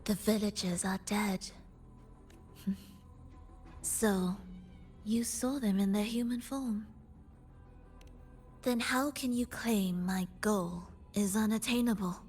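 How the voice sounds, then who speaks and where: A proud, haunted woman, voiced by an actress in a video game drama speaks slowly and with measured haughtiness. Her defiance sounds obsessive and cool, with music faintly behind. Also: medium pitch, darkish tone, natural voice. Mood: mocking, defiant.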